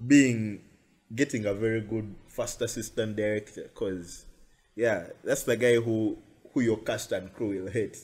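A man's voice: on the low side, steady tone, unhurried, darkish tone, husky. A young man, chatting casually with friends and leaning on "you know" fillers. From Uganda. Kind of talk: casual chat.

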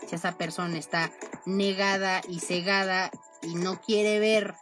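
A woman's voice: fairly low pitch, flat delivery, unhurried. A woman hosting a podcast, talking casually and tagging most sentences with a questioning "no?". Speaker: podcast host. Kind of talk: casual monologue. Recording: music playing under the voice.

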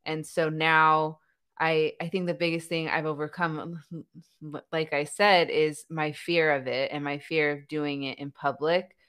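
A young adult woman with a stutter, a podcaster talking openly in a relaxed conversation. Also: deep voice, smooth. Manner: stutters, laughs between thoughts. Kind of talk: conversational interview chat.

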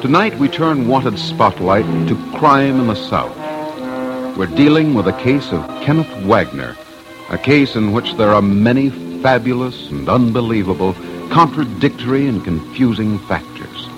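A man's voice: medium pitch, sing-song, moderate pace, highs cut off. A man hosting and narrating an old radio crime documentary in a solemn, dramatic, scripted way. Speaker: host and investigator. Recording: old radio broadcast with music. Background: music.

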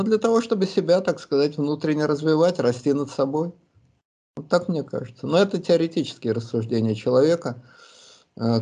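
A man answering listeners' questions in a reflective monologue, thoughtful and pausing often with hesitations. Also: fairly low pitch, warm tone. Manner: thoughtful, pauses with many hesitations.

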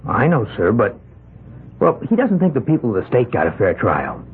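A meek young murder suspect. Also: male voice, mid-range voice, normal speed, a little hiss or hum. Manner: meek, hesitant, oddly calm about his fate. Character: timid young man accused of murder. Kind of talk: 1970s radio drama acting. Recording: phone-like lo-fi old radio broadcast.

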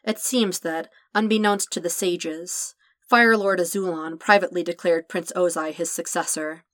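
A woman reading fan fiction aloud as a podfic, in a calm, measured narration. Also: fairly low pitch, dry recording, studio quality. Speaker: podfic reader.

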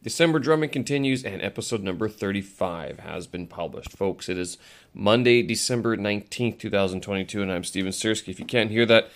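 A man's voice: fairly low pitch, fairly quick. Congested man rambling on his audio blog. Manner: rambling, self-correcting, many fillers. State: congested, nasal from a cold. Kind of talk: casual solo audio blog.

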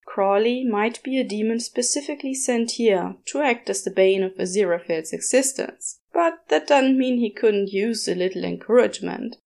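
A woman's voice: mid-range voice, moderate pace, creaky, few pauses, darkish tone. A woman reading a story aloud as scripted audiobook-style narration. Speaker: podfic narrator. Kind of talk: scripted narration of fan fiction.

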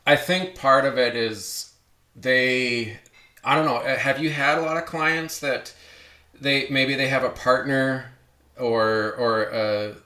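A man's voice: mid-range voice, fairly even intonation, slow pace, pushed and bright. A man hosting a podcast conversation, rambling with many restarts and self-corrections. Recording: echoey room.

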